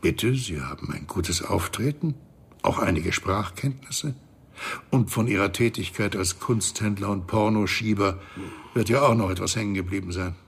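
An actor playing a shady, condescending crime boss in a radio thriller, with a husky, gravelly voice and a smug, wordy manner. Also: male voice, on the low side, normal speed, heavily acted. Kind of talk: radio crime drama acting.

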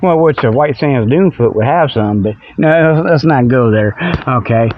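A man's voice: medium pitch, normal speed, slight background noise. A middle-aged American man hosting a comic show, rambling off the cuff in a folksy, repetitive, colloquial way. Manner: folksy, colloquial, repeats himself, loses his thread. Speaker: host of a comedic radio-style show. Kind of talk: rambling, improvised comic monologue. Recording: dull lo-fi radio-like sound.

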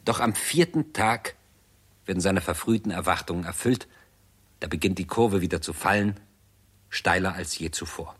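Narrator, lowish husky voice, sober, documentary tone, scripted 1960s radio drama. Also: male voice, normal speed, fairly bright tone. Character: sober, documentary-like male narrator of a science fiction tale.

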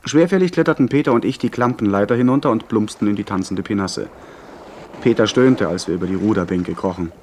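Male actor as earnest young gentleman narrator, lowish voice, literary storytelling, radio play. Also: quick pace, a little hiss or hum, lightly acted. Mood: measured, literary. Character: earnest young gentleman recalling his pirate adventure. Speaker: first-person narrator. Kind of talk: scripted dramatic narration.

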